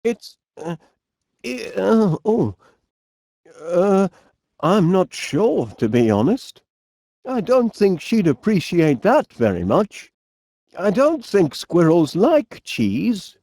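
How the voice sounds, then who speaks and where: An actor playing a polite, bumbling, enthusiastic inventor with a Northern English accent in an animated video game, hesitant and fussily courteous with quaint turns of phrase. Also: male voice, high-pitched, slow pace, vocal fry, dry recording, clean studio sound, heavily acted.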